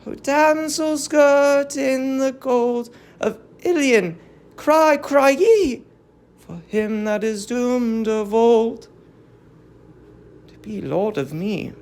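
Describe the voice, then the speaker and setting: A woman performs a doomed young princess and prophetess of Greek tragedy. Her voice is high and slow, with creaky edges, declaiming in an ecstatic, vengeful incantation. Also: expressive, a little mellow, full character voice. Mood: frenzied rapture. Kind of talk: classical tragedy dramatic reading.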